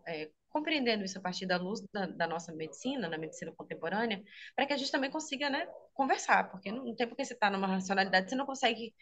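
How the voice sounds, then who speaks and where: A woman's voice: medium pitch, lively intonation, racing, a bit bright. A woman from Minas Gerais with a Brazilian accent, a speech therapist and health teacher, explaining her work in a casual interview, often adding "né?" and laughing easily. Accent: Brazilian Portuguese, Minas Gerais. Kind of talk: casual interview over a video call. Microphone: video call.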